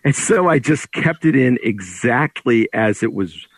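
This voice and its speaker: A man speaking as an author in a conversational interview, explaining things through anecdotes in a husky voice. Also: mid-range voice, animated, unhurried, no room sound. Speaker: author discussing his book.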